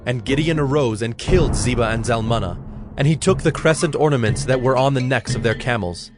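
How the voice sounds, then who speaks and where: Mid-pitched solemn male scripture narrator. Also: music in the background. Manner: measured, solemn.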